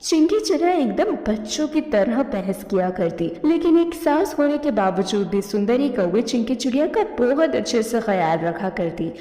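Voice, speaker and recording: A woman's voice: on the high side, warm tone. A woman narrator giving a scripted storytelling narration for a cartoon. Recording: echoey reverberant voice-over.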